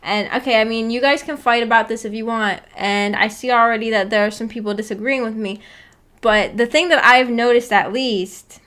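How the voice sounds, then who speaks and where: A woman hosting a casual livestream, chatting with viewers in a giggly, playfully teasing and apologetic way, rambling and halting as she goes. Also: a little high, fairly even intonation, fairly bright tone. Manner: rambling, halting, filler-heavy talk with frequent "like". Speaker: livestream host. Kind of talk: casual livestream chat with viewers about anime.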